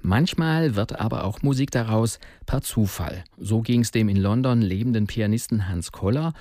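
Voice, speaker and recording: A man's voice: fairly low pitch, moderate pace, mellow, no room sound. A man hosting a jazz radio show in a scripted but conversational style. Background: faint music.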